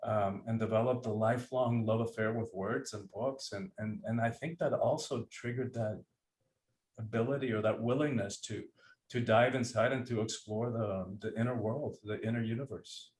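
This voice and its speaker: A man's voice: fairly low pitch, steady tone, moderate pace, darkish tone. A man from Cuba, a guest author and breathwork teacher, talking earnestly and reflectively over a video call. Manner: reflective, earnest, searching for words. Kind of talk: conversational interview. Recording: echoey room sound.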